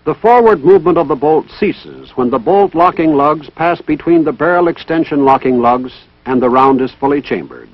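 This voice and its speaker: Training film narrator: male, higher-pitched, formal and methodical, lively intonation, dull old soundtrack. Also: no echo. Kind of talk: scripted instructional narration. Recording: dull, band-limited vintage military film soundtrack.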